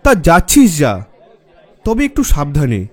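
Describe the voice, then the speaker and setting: An actor playing a caring, nagging young bridegroom friend in a horror audio drama. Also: male voice, a little high, wildly swooping pitch, a little slow, full character voice. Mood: worried, cautioning. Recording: music and faint crowd behind. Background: music, faint crowd murmur.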